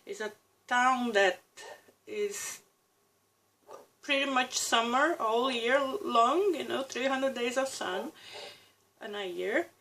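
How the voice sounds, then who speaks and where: Gravelly Brazilian woman vlogging. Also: a little high. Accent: Brazilian Portuguese. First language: Portuguese. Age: 30s. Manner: reflective, with pauses and restarts, soft laughs. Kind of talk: casual personal talk to camera.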